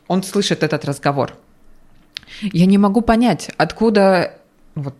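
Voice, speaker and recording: A woman co-hosting a casual video chat who tells anecdotes in an easygoing way and speaks straight to the viewers. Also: lowish voice. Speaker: video co-host.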